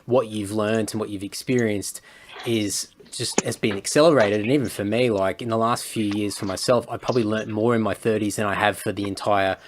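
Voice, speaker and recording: A man in his thirties, a podcast guest, talking in a thoughtful, measured way. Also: fairly low pitch, fairly even intonation, slight background noise. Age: 30s. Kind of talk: casual conversation.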